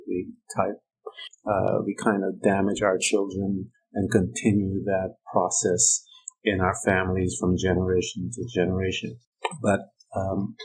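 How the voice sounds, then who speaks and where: A middle-aged man from Guyana, hosting a personal-development podcast and speaking slowly and haltingly with many pauses. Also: low-pitched, vocal fry, darkish tone, breathy edge, rough recording. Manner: slow and halting, many ums and uhs. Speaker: podcast host. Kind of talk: reflective personal-development monologue. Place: United States.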